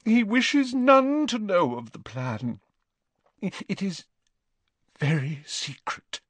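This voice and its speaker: Man doing a tearful high-voiced noble witch mother, slow and pleading, with big swoops, dry sound. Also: very animated, slight background noise, heavily acted. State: crying. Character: desperate weeping aristocratic witch, mother. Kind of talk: audiobook character voice.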